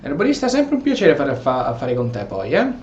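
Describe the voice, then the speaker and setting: An Italian-accented man commentates casually on a role-playing game, with very lively pitch swings. He is halting and repeats words, recorded in an echoey room with faint noise. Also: highs cut off. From Italy. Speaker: gameplay streamer.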